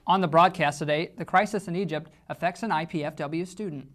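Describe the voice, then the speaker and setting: A man's voice: fairly high pitch, a little fast, a bit bright. A young man anchoring a student news show, reading the copy and asking interview questions. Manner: read-out anchor lines, loose in interview.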